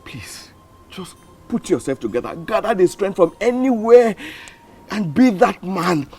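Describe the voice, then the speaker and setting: Husky, slow, pleading royal attendant. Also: male voice, sits high, very animated, dark tone, faint music behind, full character voice. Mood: pleading, anguished. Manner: pleading, halting, repetitive. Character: humble, anguished male royal attendant pleading with his prince. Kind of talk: melodramatic film acting.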